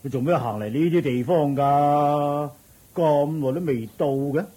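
A man's voice: fairly high pitch, a little slow, dark tone, breathy edge. An actor with a Hong Kong Cantonese accent playing a bossy, mocking male servant in a radio drama. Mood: doubtful, grumbling. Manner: mocking, hustling, exclamatory.

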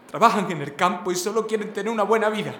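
Man playing a troubled sailor, high voice, echoey. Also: quick pace, pushed and bright. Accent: Spain. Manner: earnest, troubled. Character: conscience-stricken young sailor from a farming family. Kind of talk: audio drama acting. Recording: echoey room, faint background noise.

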